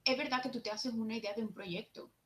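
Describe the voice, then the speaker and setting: A woman's voice: on the high side, steady tone, fast, heavy background noise. A young woman with a Jerez Andalusian accent giving an earnest, explanatory conference talk at a social work seminar, partly read from notes. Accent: Andalusian Spanish, from Jerez.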